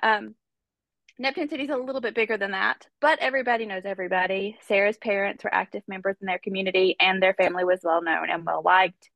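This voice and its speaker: Congested woman with a gravelly voice. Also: mid-range voice, fairly even intonation, fast, a bit bright, no echo. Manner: chatty, conversational, many fillers. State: congested with a cold. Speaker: podcast host. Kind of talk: casual true-crime storytelling.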